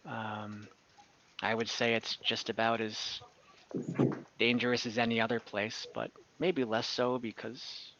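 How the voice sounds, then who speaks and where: A man voicing a cynical, weary woman ex-bandit turned guard in a tabletop game, speaking haltingly with a cynical tone. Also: medium pitch, flat delivery, a bit bright, slight background noise, lightly acted. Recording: dull online voice-call audio.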